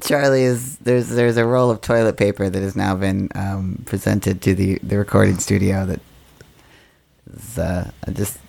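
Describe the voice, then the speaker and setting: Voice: low voice, fairly even intonation, moderate pace, vocal fry, a little mellow. A podcast co-host chatting in an easygoing, hesitant way with many fillers. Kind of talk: casual chat. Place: small recording studio.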